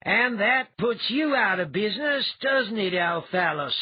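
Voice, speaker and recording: A man voicing a gloating, mocking male villain in an audiobook, taunting in a husky voice. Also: high-pitched, expressive, unhurried, no echo, dull audio, pitched above the performer's own voice, heavily acted.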